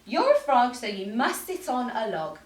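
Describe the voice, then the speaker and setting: A woman's voice: fairly high pitch, huge pitch swings, moderate pace, reverberant, recorded from a distance, pitched above the performer's own voice. A woman voicing a bossy, know-it-all cat from a children's picture book, with emphatic, insistent delivery.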